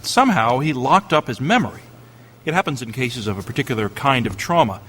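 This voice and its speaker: An actor playing an articulate doctor and investigator in a family radio drama, explaining things earnestly and insistently. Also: male voice, medium pitch, lively intonation, a little fast, fairly bright tone, breathy edge.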